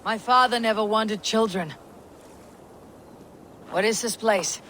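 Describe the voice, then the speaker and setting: Stoic, husky Viking warrior woman over noisy water. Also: fairly high pitch, slow, fairly bright tone. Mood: guarded. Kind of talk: video game voice acting, dramatic inner narration. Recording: noisy water and outdoor ambience.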